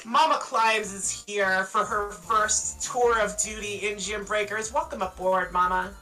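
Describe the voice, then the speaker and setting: Bright energetic woman hosting a stream. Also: mid-range voice, measured pace. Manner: energetic, joking, boastful banter. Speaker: host and caster. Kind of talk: live-stream esports hosting. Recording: distant mic with faint music. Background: music.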